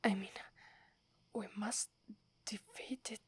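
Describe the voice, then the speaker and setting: A young female werewolf warrior, acted by a woman in an ASMR roleplay, whispers with an Italian accent. Her delivery is very breathy and slow, staying within a narrow pitch range. She is loyal and hesitant, speaking deferentially to her alpha. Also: fairly even intonation, no echo. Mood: questioning, thoughtful.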